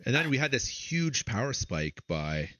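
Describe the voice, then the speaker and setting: A man casting a strategy game tournament, chatting with a co-caster and interviewing a player, with frequent drawn-out fillers. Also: medium pitch, big pitch swings, pushed and bright, dry recording. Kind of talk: live game commentary and interview.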